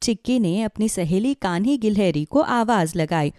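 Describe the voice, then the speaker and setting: Mellow, expressive woman storyteller. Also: mid-range voice, moderate pace, dry recording, studio quality. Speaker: narrator. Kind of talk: scripted children's story narration.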